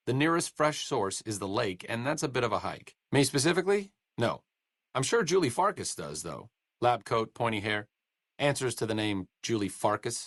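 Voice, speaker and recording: An actor playing a sardonic young scholar and medic in a video game, with dry, sarcastic wit. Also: male voice, on the low side, a bit bright, dry recording, heavily acted. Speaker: game companion character. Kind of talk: studio video game voice acting.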